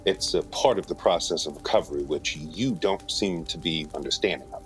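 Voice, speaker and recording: An actor playing a patient, protective middle-aged father in an audio drama, speaking in a measured, steady way. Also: extremely low pitch, faint music behind, rough recording. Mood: firm, patient.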